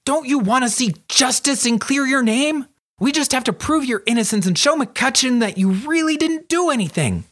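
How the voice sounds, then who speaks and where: A man voicing an excitable, bossy young schoolgirl with a breathless, demanding, dramatic manner in a storybook reading. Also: extremely high pitch, moderate pace, pitched above the performer's own voice, full character voice. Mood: insistent. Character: excitable, bossy young schoolgirl who loves adventure stories.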